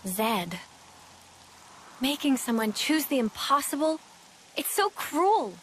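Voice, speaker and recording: A tender-hearted young game heroine. Her high voice moves expressively at a slow pace, over faint rainy noise. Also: very animated, fairly bright tone, full character voice. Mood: dismayed. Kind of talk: dramatic video game cutscene acting.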